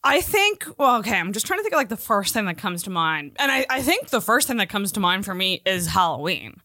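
A woman co-hosts a casual podcast in a bright, lively voice. She is chatty and emphatic, her intonation moves a lot, and she swears freely. The sound is close and dry. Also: medium pitch, a little fast, dry recording. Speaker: podcast co-host. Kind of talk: casual chat.

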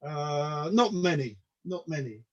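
A man in his sixties with a notably high voice, recorded in dull, lo-fi audio. He grew up in the UK with Polish as his first language. He chats casually and self-deprecatingly as a guest, often repeating words as he gets going. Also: expressive, slow. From United Kingdom. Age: mid-sixties. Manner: stammering repetitions, self-deprecating. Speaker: podcast guest, comedian. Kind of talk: casual interview chat.

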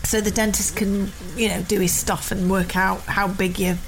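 A woman co-hosting a podcast, reacting with excitable exclamations in casual chat. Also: medium pitch, normal speed, a little hiss or hum, low-quality recording. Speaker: podcast co-host.

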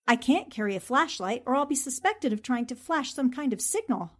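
A woman's voice: high-pitched, sing-song, a little fast. A woman playing a plucky, wisecracking young newspaperwoman sleuth in a 1940s-style radio drama, with a flippant, arch way of talking. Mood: sly, arch. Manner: talks to herself, flippant, arch.

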